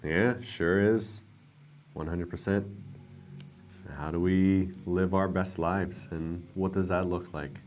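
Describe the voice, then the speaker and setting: Male streamer, very low voice, sleepy and soft-spoken, casual chat with viewers. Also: steady tone, slow pace, faint music behind. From United States. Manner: soft-spoken and drowsy, trailing off quietly. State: tired, not well rested. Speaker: livestream host. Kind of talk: casual livestream chat, reading viewer comments.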